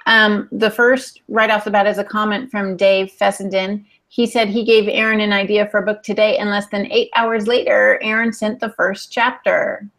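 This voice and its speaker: A woman's voice: mid-range voice, little pitch movement, moderate pace, hardly any pauses, fairly bright tone. A woman chatting casually as a podcast co-host, relaying comments and questions from listeners.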